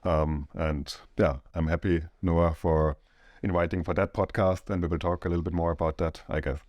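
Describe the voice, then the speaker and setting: Deep-voiced middle-aged man from Austria. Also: steady tone, moderate pace, darkish tone, no room sound, highs cut off. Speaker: co-owner of a real estate firm. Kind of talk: casual podcast chat.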